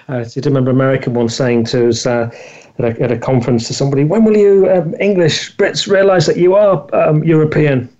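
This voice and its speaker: A man from the United Kingdom hosting an interview show, asking relaxed, friendly questions. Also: fairly high pitch, a little fast, highs cut off. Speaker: podcast host. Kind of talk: casual interview conversation.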